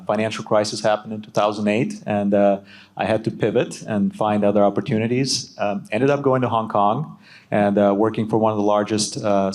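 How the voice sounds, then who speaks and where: A man who was born in Ukraine and grew up in California, speaking as a startup founder on a panel in a measured way with frequent filler pauses. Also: lowish voice, a little mellow. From Ukraine, raised in California. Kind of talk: panel discussion on stage.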